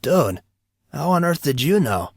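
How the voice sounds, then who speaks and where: A man voicing an indignant, excitable teenage high school boy who talks in slangy exclamations. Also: unhurried, vocal fry, no echo, studio quality, heavily acted. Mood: baffled, curious.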